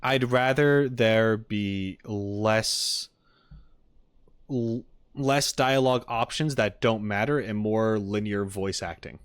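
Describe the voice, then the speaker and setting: A man's voice: on the low side, takes it slowly, clean tone, no room sound. A man chatting casually on a livestream as he reacts to game clips, opinionated and jokey, speaking into a close microphone.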